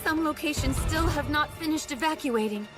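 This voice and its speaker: A woman acts an earnest young ninja girl in a cartoon. Her voice is high and urgent. Music plays behind her. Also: moderate pace, roomy sound, close to an everyday voice. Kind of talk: anime dub acting.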